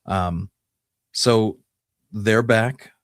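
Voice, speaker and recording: A man with a low-pitched voice co-hosts a history podcast. He talks in a casual storytelling way, feeling out his phrasing as he goes. The sound is dry, with very little room to it. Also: extremely slow, no echo. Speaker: podcast co-host.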